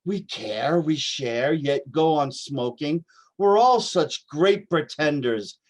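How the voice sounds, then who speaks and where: A man playing a troubled homeless young doomsday poet in a play reading, speaking in a halting, dramatic way. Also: on the high side, expressive. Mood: fervent reciting. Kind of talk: staged play reading, reciting verse.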